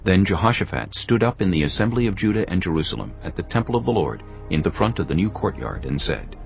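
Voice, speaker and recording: A male narrator with a very deep voice that moves expressively through its range. He reads scripture in a measured, storytelling manner. The recording sounds slightly muffled, with faint music underneath. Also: sing-song, creaky.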